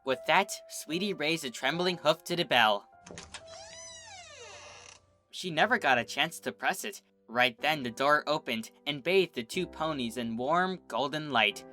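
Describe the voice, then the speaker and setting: A man's voice: medium pitch, sharp tone. A man reading a fanfiction story aloud as the narrator and channel host.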